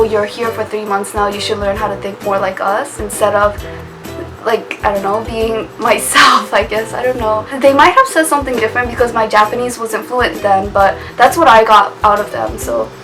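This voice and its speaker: A young woman chatting casually to camera in a vlog, laughing often and trailing off with little "I guess" asides. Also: mid-range voice. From United States. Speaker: vlogger. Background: music.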